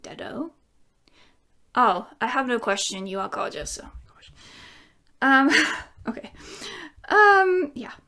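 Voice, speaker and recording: A woman's voice: on the high side, very animated, slow pace, pauses often, sharp tone. A woman in her thirties from England, chatting casually to camera as she answers viewer questions, giggling often and rambling with lots of fillers. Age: 30s. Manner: breaks into bits of song.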